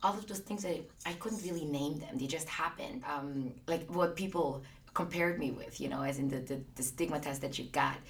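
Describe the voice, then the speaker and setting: A woman, a guest in an interview, speaking earnestly and reflectively, often pausing on fillers. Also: low voice, distant mic. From Austria. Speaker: guest, business founder. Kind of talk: conversational interview.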